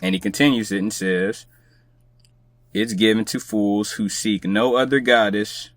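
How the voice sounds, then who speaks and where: A man's voice: lowish voice, steady tone, measured pace, no room sound, studio quality. A man speaking casually and conversationally as a guest on a podcast.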